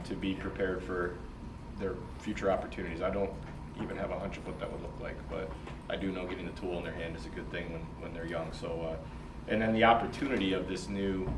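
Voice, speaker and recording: A middle-aged man giving an informal introduction in a group discussion, rambling a little as he thinks aloud. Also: deep voice. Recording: echoey room, distant mic, steady noise.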